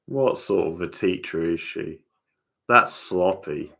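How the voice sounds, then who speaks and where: A man with a very low, gravelly voice that still has a bright edge. He talks unhurriedly, dropping casual, off-the-cuff remarks as a reaction host watching along. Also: slow pace.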